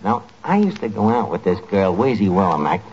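An actor playing a boastful, slangy tavern manager with a New York accent in a 1940s radio comedy. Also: male voice, on the low side, very animated, fairly quick, a little mellow, husky. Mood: breezy. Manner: slangy, mangles his words. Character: boastful, bluffing wisecracking male tavern manager. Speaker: comic lead of a radio sitcom. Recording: muffled, noisy 1940s radio recording.

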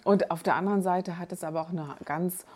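A woman's voice: on the low side, fairly even intonation, brisk, a little mellow. A woman in her fifties from the Hunsrück with a Rhineland-Palatinate accent, hosting a conversational podcast interview and often asking for agreement. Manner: chatty, asks for agreement with tag questions. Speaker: podcast host.

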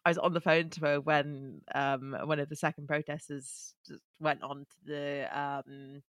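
A woman from Wales co-hosting a podcast, chatting loosely and rephrasing as she goes. Her voice sits low for a woman. The sound is close and dry, with no room echo. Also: level tone, moderate pace, a bit bright. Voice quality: chatty, with many fillers and rephrasings. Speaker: podcast co-host. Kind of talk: casual chat.

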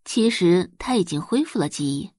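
A woman's voice: lowish voice, lively intonation, normal speed, mellow, husky, no echo, clean studio sound. A woman giving dramatic scripted narration of romance fiction as an audiobook narrator.

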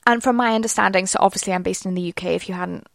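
A woman's voice: medium pitch, fairly even intonation, rapid-fire, creaky, no echo, studio quality. A young Welsh woman hosting a solo podcast, chatting in a rambling way full of fillers. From Wales. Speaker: podcast host. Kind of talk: casual solo podcast monologue.

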